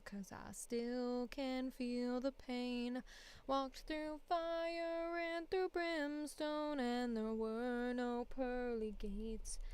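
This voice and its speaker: Woman doing a heartbroken pleading woman, high pitch, drawn-out emotional delivery, smooth voice. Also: a little mellow.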